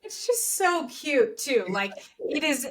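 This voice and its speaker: A woman's voice: high-pitched, very animated, takes it slowly, a little hiss or hum. A woman co-hosting a podcast in a casual, chatty style, with an upbeat, effusive manner. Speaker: podcast co-host.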